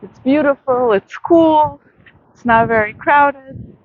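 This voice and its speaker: A woman vlogger giving a soft, reflective late-night monologue outdoors in a city, with many pauses and fillers. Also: high-pitched, phone-quality sound, slight background noise.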